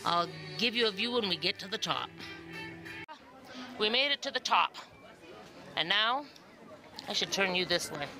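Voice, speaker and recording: A middle-aged woman, chatting casually and emphatically to a camera held at arm's length, often repeating words for effect. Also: on the low side. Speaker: vlogger. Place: mostly outdoors in hilly town streets, partly indoors. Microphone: phone or camera at arm's length.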